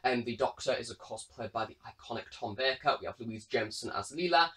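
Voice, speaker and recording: A man's voice: medium pitch, animated, fast, bright, forceful tone. A British man giving a casual, chatty product review in a rambling way. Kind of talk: casual unboxing review talk.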